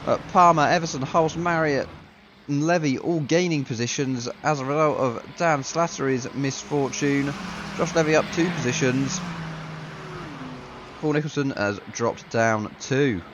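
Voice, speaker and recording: A man with a self-mocking, casual manner commentates a league sim race, a bit ill with a deeper voice than usual. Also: measured pace, a bit bright, slight background noise.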